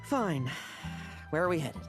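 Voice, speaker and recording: An actor playing an earnest, restless young knight recruit in a video game, formal and skeptical in manner. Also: male voice, on the high side, big pitch swings, measured pace, music in the background. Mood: earnest, uneasy.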